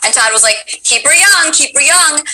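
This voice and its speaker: A woman with a low voice hosting a casual chat as a voice actor, animated and acting out what directors said. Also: normal speed, a little hiss or hum, low-quality recording. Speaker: host and voice actor.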